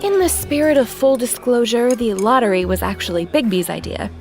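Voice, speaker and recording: A woman's voice: a little high, a little fast, a little mellow, soft background music, lightly acted. Woman acting a commanding, sardonic deputy mayor. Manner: crisp, authoritative, sardonic. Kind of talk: audio drama acting.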